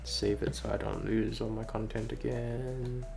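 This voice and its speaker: A man's voice: lowish voice, little pitch movement, measured pace, gravelly. A man streaming in a casual, rambling way, thinking aloud with hesitant phrasing. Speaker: streamer, game developer. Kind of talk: casual livestream chat. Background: music.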